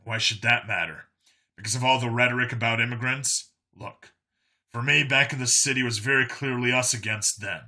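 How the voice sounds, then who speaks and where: A man plays a tough enforcer in a story, speaking at a middle pitch with a very bright, forceful edge. He explains himself earnestly and bluntly. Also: normal speed. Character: Vincent, earnest tough human enforcer, reformed bigot.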